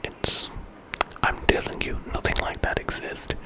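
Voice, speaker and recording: A man's voice: measured pace, close to an everyday voice. A man voicing a reluctant, wary village man in whispered ASMR storytelling, hesitant and grumbling.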